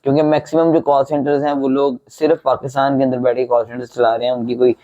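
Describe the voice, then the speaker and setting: Mellow interviewer with a dull lo-fi sound. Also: male voice, medium pitch, little pitch movement, fast talker. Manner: conversational, short acknowledgements. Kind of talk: interview questions.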